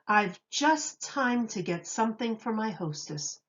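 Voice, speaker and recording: A woman's voice: on the high side, animated, measured pace, a bit bright, lo-fi sound. A woman voicing a dapper male lion in a storybook, eager and indignant, with emphatic exclamations. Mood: eager, polite.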